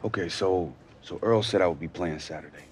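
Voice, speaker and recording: A man's voice: low-pitched, fairly quick, slight background noise, close to an everyday voice. A man playing an earnest, uneasy young rookie football player in a cinematic game, speaking politely and hesitantly. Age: young adult. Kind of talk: naturalistic game acting.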